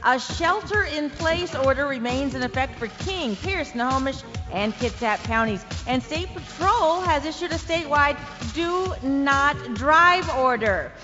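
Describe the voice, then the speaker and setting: A woman's voice: high-pitched, expressive, a little slow, few pauses. A woman playing an uneasy radio traffic reporter in an audio drama, urgent and emphatic with conversational asides. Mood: brisk, concerned. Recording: dull lo-fi, long reverb, music underneath.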